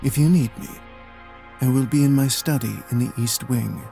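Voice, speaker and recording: A man's voice: medium pitch, normal speed, gravelly, warm tone, soft background music, close to an everyday voice. A man playing an aloof, solitary lord of an icy castle in an audio drama, speaking in a curt, formal and exacting way.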